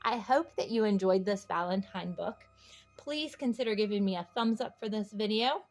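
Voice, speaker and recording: A woman reading a children's storybook aloud in an encouraging way, pausing to ask young listeners simple questions. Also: mid-range voice, faint music behind. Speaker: children's book read-aloud host.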